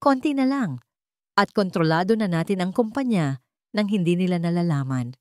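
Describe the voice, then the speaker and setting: Woman playing a formal, animated Filipino business associate. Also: on the low side, normal speed, no room sound, clean studio sound, natural voice. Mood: formal, assured. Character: deferential, businesslike corporate associate reporting to the boss.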